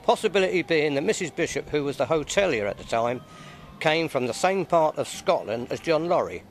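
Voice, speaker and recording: A man in a casual interview at an outdoor event, telling history with halting repeats. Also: fairly high pitch, sing-song, slight background noise. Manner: hesitant, repeats words. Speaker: interviewee, society member.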